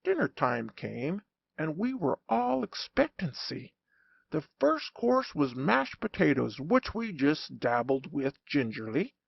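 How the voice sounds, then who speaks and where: A man narrating a humorous audiobook in an animated, wry storytelling manner. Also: a little high, slow pace, gravelly, slightly rough, no room sound, lo-fi sound.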